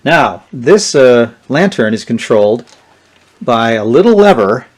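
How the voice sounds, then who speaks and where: A man's voice: mid-range voice, big pitch swings, measured pace. A man giving a casual, unscripted hands-on product walkthrough in a plainspoken, explanatory manner. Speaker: gadget reviewer. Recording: clear background noise and clicks.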